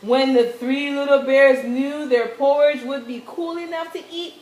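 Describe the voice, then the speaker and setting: Slow, high-voiced woman telling a fairy tale. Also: steady tone, a little mellow. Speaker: storyteller in a puppet show. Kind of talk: children's fairy-tale narration. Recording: echoey room, faint background noise.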